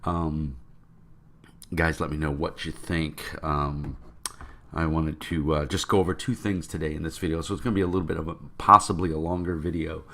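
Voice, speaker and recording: A man with a deep, froggy voice from a cold, rambling casually to his viewers about tabletop games. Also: steady tone, moderate pace, pushed and bright. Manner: rambling, with many fillers. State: congested from a cold. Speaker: tabletop game vlogger. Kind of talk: casual rambling talk to camera.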